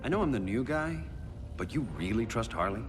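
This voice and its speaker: A man's voice: medium pitch, big pitch swings, brisk, big-room sound, music in the background, close to an everyday voice. A man voicing a cocky wisecracking archer. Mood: wry. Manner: wry, quipping.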